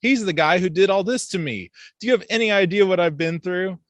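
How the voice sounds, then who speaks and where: A man's voice: high-pitched, expressive, brisk, dry recording, lo-fi sound, pitched above the performer's own voice. A man voicing an indignant, complaining young boy from a fantasy film. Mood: exasperated. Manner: exasperated, complaining.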